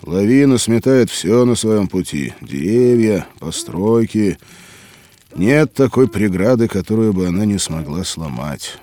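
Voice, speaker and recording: An actor playing a hearty, hospitable mountaineer in an audio drama for children, speaking expressively. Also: male voice, fairly low pitch, lively intonation, unhurried, dark tone. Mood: earnest, animated. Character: hearty hospitable mountaineer by a campfire below Everest. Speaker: mountaineer character.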